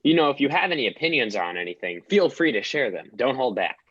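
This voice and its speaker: A man's voice: medium pitch, big pitch swings, quick pace, a bit bright, slightly rough, no echo, highs cut off. A man playing a fussy, exasperated police detective and dog owner in a comedic radio play. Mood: irritated.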